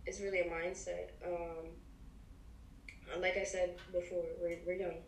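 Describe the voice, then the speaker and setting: A twenty-one-year-old woman chatting casually as a podcast guest, halting, with many fillers and restarts. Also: fairly low pitch, level tone, recorded from a distance. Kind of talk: casual chat. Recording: echoey room, off-mic, faint hiss.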